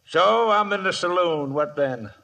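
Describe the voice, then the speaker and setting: An American man acting a cocky, taunting gunslinger with a sly, drawn-out delivery in a 1950s radio western. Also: high-pitched, lively intonation, gravelly, pushed and bright, heavily acted. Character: cocky, taunting male gunslinger, amused and dangerous. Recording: reverberant old radio broadcast.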